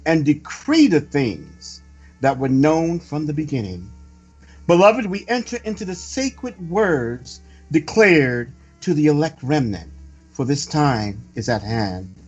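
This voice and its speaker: Guy reading prophecy like a solemn sermon, slow and declamatory, kinda muffled lo-fi sound. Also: on the high side. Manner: ceremonial, declamatory, deliberate phrasing. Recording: dull lo-fi audio. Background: music.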